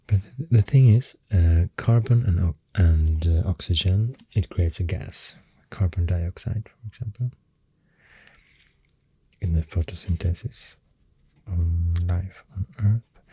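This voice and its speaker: An ASMR creator with a Swedish accent, speaking very softly and often near a whisper, thinking aloud hesitantly into a close microphone. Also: deep voice, slow pace, no echo. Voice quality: very soft, breathy, often dropping to a whisper. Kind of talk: soft-spoken ASMR, rambling science facts.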